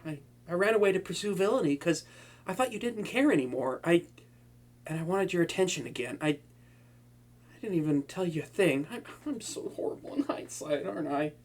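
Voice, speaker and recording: A man's voice: high voice, expressive, a little fast. A man playing a flustered, boastful young male supervillain who stammers and swings between moods. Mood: remorseful. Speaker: voice actor. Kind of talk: hobby audio roleplay to a listener.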